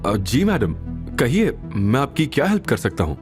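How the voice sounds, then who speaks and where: Man acting a courteous restaurant manager, lively intonation. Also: mid-range voice, normal speed, music in the background. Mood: courteous, eager. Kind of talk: audio drama character dialogue.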